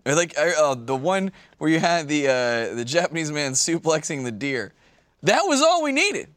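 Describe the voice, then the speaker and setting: A man's voice: fairly high pitch, sing-song, normal speed, a bit bright, no room sound. A man co-hosting a podcast, casual and teasing with his friends. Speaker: podcast co-host. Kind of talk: casual group banter.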